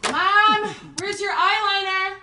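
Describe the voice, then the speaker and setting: An actress playing a defiant, whiny, insolent teenage daughter in an audio play. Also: female voice, very high-pitched, steady tone, slow, bright, forceful tone, a little hiss or hum, full character voice. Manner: bratty, insolent.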